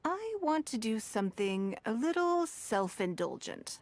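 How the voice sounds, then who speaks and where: A woman voicing a poised, shrewd, wealthy businesswoman in a video game, speaking in a calm, measured, elegant way. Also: fairly high pitch, a little hiss or hum. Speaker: game character.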